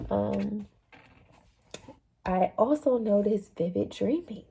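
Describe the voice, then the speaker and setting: A young adult woman talking casually about her experience in a personal vlog, relaxed and chatty with plenty of fillers. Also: medium pitch, slow pace, vocal fry, a little hiss or hum, low-quality recording. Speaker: vlogger sharing a fasting journey.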